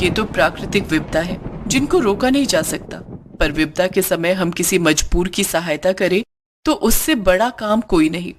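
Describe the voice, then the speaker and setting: Woman narrating a story, faint background noise. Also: lowish voice. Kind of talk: scripted cartoon story narration.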